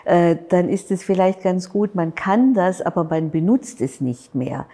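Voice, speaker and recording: A middle-aged woman interviewing an artist in a museum gallery, measured and appreciative, then thanking the audience formally. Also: on the low side, mellow. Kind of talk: formal interview and closing address.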